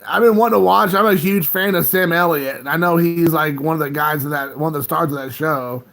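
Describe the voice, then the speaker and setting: A man's voice: fairly high pitch, fairly quick, gravelly, a bit bright. A man in his late thirties hosting a casual home live stream from his phone, rambling and chuckling as he chats with viewers. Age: 30s. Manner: rambling, laid-back, chuckling often. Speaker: livestream host.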